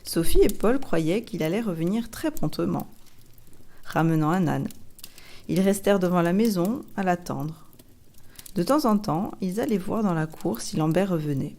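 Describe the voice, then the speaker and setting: A woman's voice: fairly low pitch, lively intonation, moderate pace, warm tone. A woman reading a storybook aloud as an audiobook narrator, in scripted narration.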